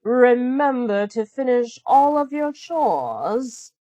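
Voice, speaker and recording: A woman's voice: on the high side, big pitch swings, takes it slowly, mellow, no room sound, pitched above the performer's own voice. Woman doing a stern, commanding stepmother. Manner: firm, commanding. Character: stern, mean stepmother giving chores.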